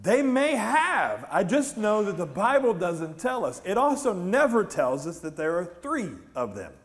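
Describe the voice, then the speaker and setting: A middle-aged man with an American accent preaches in a somewhat high-pitched voice. He is a pastor who talks conversationally, retelling scripture like a lively story and tossing questions out to his listeners. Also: a little slow. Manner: animated storytelling with questions to the congregation. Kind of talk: conversational church sermon. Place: large church stage, indoors.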